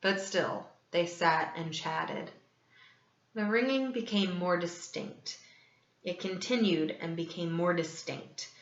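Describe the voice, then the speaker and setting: A woman's voice: on the low side, slow pace. A woman voicing a nervous, gleeful madman who narrates his murder in a gothic storybook reading, theatrical and intense. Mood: uneasy. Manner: theatrical, intense, swinging between hushed and loud. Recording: echoey room, slightly dull sound.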